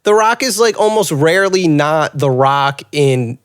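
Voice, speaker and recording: A man's voice: a little high, lively intonation, measured pace, smooth, dry recording, studio quality. A man co-hosting a podcast, talking casually with friends about films and thinking aloud as he goes. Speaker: podcast co-host. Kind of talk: casual film discussion among friends.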